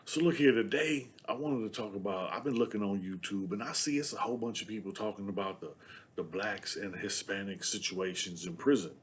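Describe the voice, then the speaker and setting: A man's voice: fairly low pitch, brisk, slight background noise. A middle-aged man from San Diego with a Southern-sounding accent, talking to camera in a loose, rambling monologue full of filler tags. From San Diego, California. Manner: rambling, loaded with "you know what I'm saying" tags. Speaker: vlogger.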